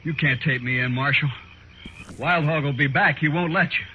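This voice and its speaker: An actor playing a desperate, deluded outlaw in an Old West radio drama, halting, pleading and agitated. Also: male voice, fairly high pitch, natural voice. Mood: defiant, agitated. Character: desperate, deluded male outlaw, groggy prisoner of a marshal. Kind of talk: 1950s radio drama acting. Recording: muffled, noisy old radio recording.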